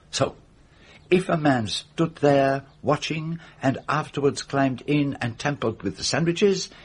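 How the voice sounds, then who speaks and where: An actor playing an older Belgian detective, shrewd and patient, speaking formal, precise English with a Belgian French accent in a radio drama. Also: male voice, medium pitch, a little hiss or hum, natural voice. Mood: probing. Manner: precise, formal, deliberate questioning.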